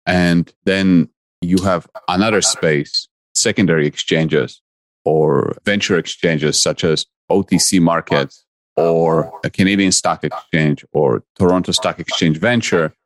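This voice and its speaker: A very deep-voiced man answers interview questions. He speaks earnestly, often stacking his ideas in short clipped phrases. The recording is close and dead, with no room sound. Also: measured pace, no room sound. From Poland. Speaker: guest investor.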